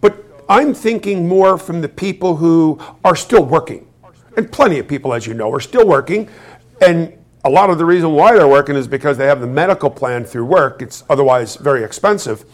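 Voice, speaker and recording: A man hosting a casual finance show, asking his guest questions. His voice sits fairly high for a man, and he often restarts his sentences and jokes as he goes. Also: expressive, fairly quick. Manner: inquisitive, jokey, restarts often. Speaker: host. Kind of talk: conversational interview.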